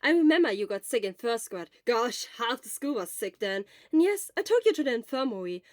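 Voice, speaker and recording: Woman acting a teen boy, loud, emphatic, caring, earnest, high-pitched, audio fan story, dry close recording. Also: fairly quick, no room sound. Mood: gentle, insistent. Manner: emphatic, energetic.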